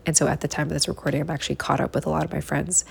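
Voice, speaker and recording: A woman hosting a solo podcast from home, giving encouraging, conversational tips in a hushed, early-morning voice. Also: low voice, little pitch movement, rapid-fire, vocal fry, husky. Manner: encouraging, conversational, repeats phrases for emphasis. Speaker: podcast host. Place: living room at home.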